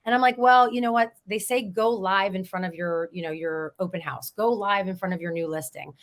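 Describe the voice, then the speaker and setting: A hoarse woman, a real estate agent, chatting in an interview and telling stories with easy laughter. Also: mid-range voice, a little fast. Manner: chatty, storytelling, laughs easily. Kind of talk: casual interview chat.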